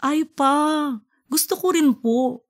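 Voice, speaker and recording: Lady doing a cheeky little son, high voice, polite and eager, audiobook. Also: slow pace. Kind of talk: audiobook character dialogue.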